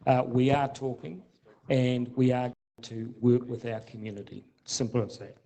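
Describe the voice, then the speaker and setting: A man's voice: mid-range voice, flat delivery, normal speed, vocal fry, warm tone, poor audio. A man, an official answering residents at a public meeting in a defensive, curt manner.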